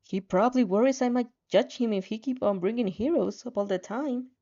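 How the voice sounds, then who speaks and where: A woman's voice: fairly high pitch, a little fast, mellow. A woman narrating fan fiction expressively, with casual reactions, on a slightly dull, lo-fi recording.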